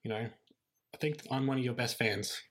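A man hosting a casual podcast, his voice croaky from illness, earnest and self-deprecating. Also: mid-range voice, moderate pace, a bit bright. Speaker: podcast host.

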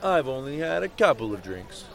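A man's voice: mid-range voice, very animated, moderate pace, creaky. A man playing a cocky, slightly tipsy nerd who argues emphatically in an audio drama. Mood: playful, cocky. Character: Brandon, tipsy cocky nerdy flirt.

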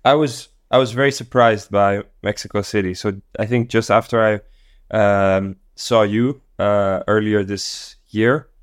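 Low, smooth-voiced man musing. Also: measured pace, no room sound, clean studio sound. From Tanzania. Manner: relaxed, thoughtful, many fillers and restarts. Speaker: guest, company co-founder. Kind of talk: casual podcast interview.

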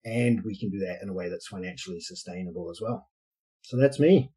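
A man with a New Zealand accent talks through his ideas in a podcast interview, pausing often on fillers as he builds each point. His voice is very dark and mellow, with a husky, slightly breathy edge. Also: sits low. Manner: thoughtful, explanatory, many fillers. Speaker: guest, basketball coach and social entrepreneur.

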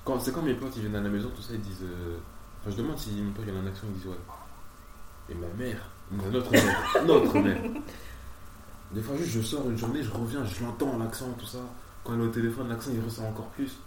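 Slangy young man, lowish voice, roomy noisy recording. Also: quick pace. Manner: informal, slangy, colloquial. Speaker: podcast guest. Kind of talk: casual sibling chat. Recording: roomy, distant mic, noisy background.

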